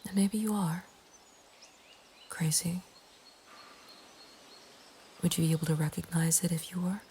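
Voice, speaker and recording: A woman narrating a scary story in a breathy, hushed ASMR whisper, slow and deliberate. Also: on the low side, steady tone, slight background noise. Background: nature ambience.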